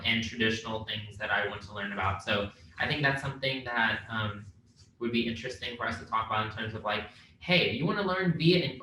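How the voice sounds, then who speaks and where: Young man, bright voice. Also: mid-range voice. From Vietnam. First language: Vietnamese. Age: young adult. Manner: relaxed, rambling, laughs easily. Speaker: podcast co-host. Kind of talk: casual podcast chat. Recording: very echoey room, faint noise.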